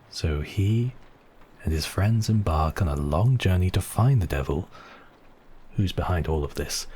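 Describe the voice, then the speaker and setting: A man playing a seductive, possessive vampire count in an ASMR roleplay, speaking slowly and teasingly in a husky, breathy voice with whispered phrases. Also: low voice, a little mellow, slight background noise, lightly acted. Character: seductive, possessive male vampire count toying with his captive.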